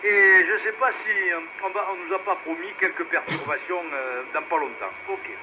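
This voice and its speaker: Male radio net host, higher-pitched, rambling and hesitant, casual chat on a noisy two-way radio. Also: moderate pace. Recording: noisy, muffled VHF radio relay.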